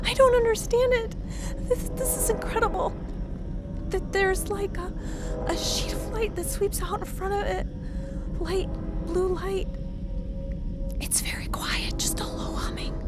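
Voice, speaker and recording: A woman playing a frightened field reporter in a radio drama, phoning in a halting, breathless eyewitness account. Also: very high-pitched, steady tone, loud music behind the voice. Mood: hushed fear. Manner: halting, breathless, repeating herself. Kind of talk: modern radio drama acting.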